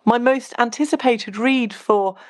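A woman giving a measured, reflective and articulate book recommendation as a podcast guest. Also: on the high side. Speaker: podcast guest, healthcare professional reviewing books. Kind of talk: spoken personal book recommendation.

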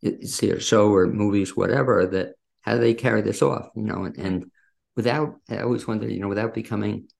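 A man's voice: on the low side, fairly even intonation, fairly quick, gravelly, a little mellow. A man hosting a casual interview, rambling a little as he circles toward his questions. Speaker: interview host.

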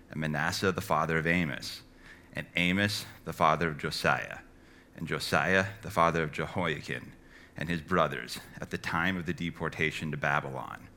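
A man reading scripture aloud in a measured way, phrase by phrase. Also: very deep voice, pushed and bright. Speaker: scripture reader.

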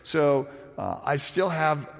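A man preaching in a big hall, his voice a touch high for a man and raspy whenever he drops to a softer level. He tells his points as lively stories, stopping and restarting often. The sound rings with a long hall echo. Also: slow pace. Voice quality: raspy when speaking quietly. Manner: animated storytelling with frequent restarts and fillers. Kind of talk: sermon.

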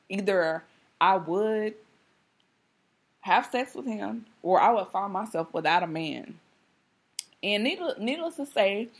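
Hoarse, candid woman podcast host. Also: fairly low pitch. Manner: candid, conversational, many fillers. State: slightly hoarse. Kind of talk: casual monologue.

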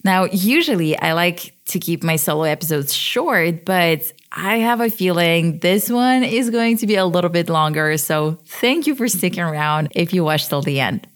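A woman's voice: on the low side, expressive, normal speed, few pauses. A woman in her thirties from Switzerland, hosting a podcast and telling her own story in a candid, conversational way that pokes fun at herself. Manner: conversational, candid, self-deprecating. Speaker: podcast host. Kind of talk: personal storytelling monologue.

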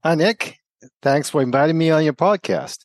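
A man of about fifty with a Japanese accent, a native Japanese speaker talking as a podcast guest in a halting, reflective way. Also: on the high side, dry recording, clean studio sound. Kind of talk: casual interview conversation.

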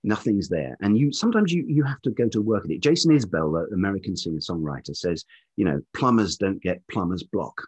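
A man's voice: deep voice, lively intonation, a little fast, a little mellow, slightly rough. A middle-aged English man with a velvety voice, talking reflectively and hesitantly as an interview guest, searching for his words. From England. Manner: hesitant, thoughtful, repeats words while searching. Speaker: podcast guest, writer and musician.

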